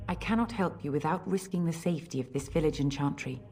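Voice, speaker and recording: A woman playing a stern, guarded head priest in a fantasy video game, formal and measured, with a husky voice. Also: low voice, dark tone. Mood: firm, formal. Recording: faint music behind the voice.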